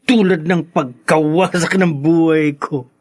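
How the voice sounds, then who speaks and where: An actor playing an idle, drunken young rich son, flippant and mocking, with a Filipino accent in a radio drama. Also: male voice, a little high, steady tone, a little slow. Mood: bitter, dismissive. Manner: flippant, tipsy. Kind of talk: Tagalog radio drama acting.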